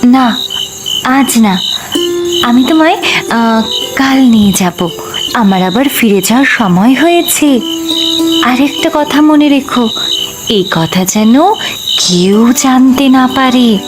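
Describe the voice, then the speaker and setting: Woman doing a light, lilting fairy maiden, teasing and gentle; cartoon, music bed. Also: on the high side, animated, takes it slowly, long pauses. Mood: playful. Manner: soft, coaxing, light laughter. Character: gentle playful young fairy girl. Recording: music playing behind.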